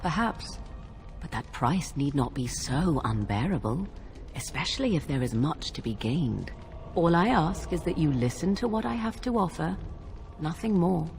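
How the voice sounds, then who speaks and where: An actress playing a sly, calm witch in a video game, speaking in a measured, formal way. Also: sits low, gravelly, faint music behind, heavily acted. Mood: coaxing, solemn. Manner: measured, deliberate, formal phrasing. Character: sly, calm witch woman proposing a dark bargain. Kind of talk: dramatic video game acting.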